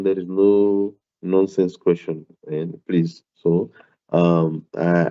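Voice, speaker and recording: A man with a very low voice and a non-native accent lectures an online maths class. He talks hesitantly, repeating words and filling pauses as he goes. The sound is dull and muffled, like a laptop mic on a video call. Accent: non-South African, foreign to English. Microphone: computer mic on a video call.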